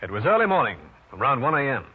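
An actor playing a dry, self-assured private detective in an old-time radio detective drama. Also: male voice, mid-range voice, very animated, fast talker, music in the background, close to an everyday voice. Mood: wry narrating. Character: wry, hard-boiled male New York private detective. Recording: dull, noisy vintage radio recording.

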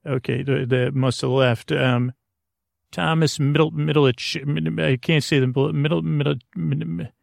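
A man with a creaky voice giving a rambling bedtime podcast monologue, mumbling and halting as he interrupts himself and meanders. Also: on the high side, fairly even intonation, no echo, clean studio sound. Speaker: podcast host.

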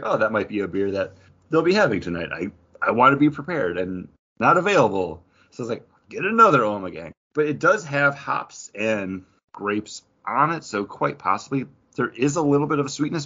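Man, low husky voice, opinionated casual chat, casually profane, dull lo-fi sound. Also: a bit bright. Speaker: podcast co-host. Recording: dull lo-fi audio.

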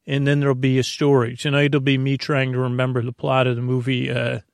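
A man hosting a bedtime podcast in a creaky voice, rambling in a halting, meandering, whimsical monologue that keeps interrupting itself. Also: mid-range voice, completely flat delivery, no room sound, studio quality. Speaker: podcast host.

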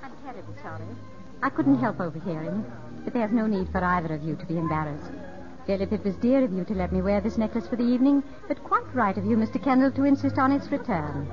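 Gracious, affectionate gentlewoman widow played by a woman, medium pitch, genteel; muffled vintage radio drama with music behind. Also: animated, fast, lightly acted. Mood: apologetic, gentle. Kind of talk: 1950s radio drama acting.

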